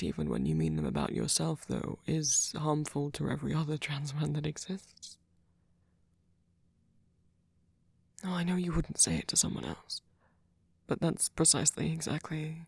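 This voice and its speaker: A man playing a tender, fretful vampire boyfriend in an ASMR roleplay, speaking softly and hesitantly, often close to a whisper. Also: a little high, expressive, moderate pace, no echo, heavily acted. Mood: defensive, earnest. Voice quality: breathy, often near whisper. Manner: soft-spoken, hesitant, stammering, tender. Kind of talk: ASMR roleplay audio drama.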